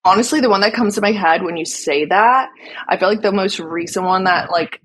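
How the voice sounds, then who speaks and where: A woman's voice: medium pitch, a little fast, a bit bright, slight background noise. A woman from North Carolina with an American accent, chatting casually as a podcast guest in a slangy, self-deprecating, joking manner. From North Carolina, United States. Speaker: podcast guest, nurse anesthetist and content creator.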